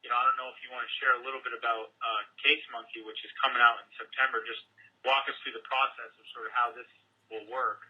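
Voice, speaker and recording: A man with a rough-edged, husky voice hosts a promotional conference call. He speaks casually and emphatically, with clear enthusiasm. The sound is lo-fi and muffled, as over a telephone. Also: medium pitch, level tone, quick pace.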